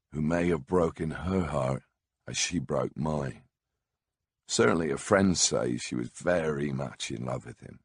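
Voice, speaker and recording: A man's voice: a bass voice, slightly rough, no echo, clean studio sound. A man narrating a first-person memoir audiobook in a wry, conversational storytelling manner.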